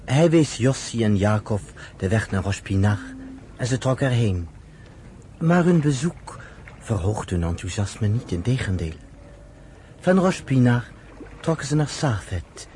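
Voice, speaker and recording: A man's voice: fairly low pitch, animated, unhurried, dark tone, slight background noise. A man narrating a radio drama in a scripted storytelling style.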